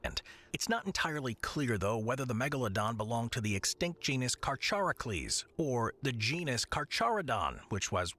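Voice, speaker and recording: A man reading voiceover narration in a calm, relaxing delivery, recorded as repeated takes. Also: medium pitch, moderate pace.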